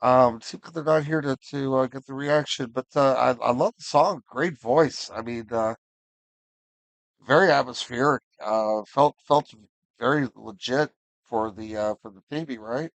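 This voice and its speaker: A man hosts a casual live online music session. His voice is mid-pitched and recorded close and dry. He talks in a hesitant, halting way and is quick to apologize. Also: no echo.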